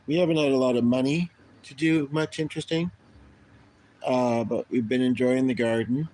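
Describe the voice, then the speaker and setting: Man, middle-aged, from Canada, livestream host, casual rambling chat, halting, lots of ums, average pitch. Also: fairly even intonation, a little mellow, rough recording.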